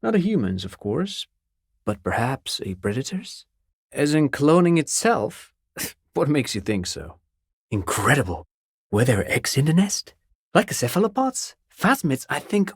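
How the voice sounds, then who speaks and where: A man's voice: medium pitch, husky, dry recording, clean studio sound. An actor playing an eager, erudite academic consultant in a game, articulate and long-winded, musing and politely hedging as he speaks.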